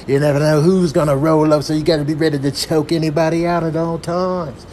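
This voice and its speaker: A man's voice: a little high, fairly even intonation, fairly quick, a little mellow, slight background noise, natural voice. A man voicing a swaggering animal tough guy in a comic voiceover, barking emphatic slangy orders.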